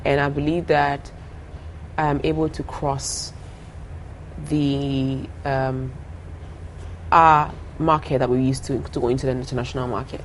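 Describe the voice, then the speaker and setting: A young woman from Ghana speaks in a notably low voice, earnest and quick to laugh. She often repeats her phrases as she talks through her answers. A faint hiss sits under the recording. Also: little pitch movement, rough recording. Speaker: singer guest. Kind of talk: casual interview talk.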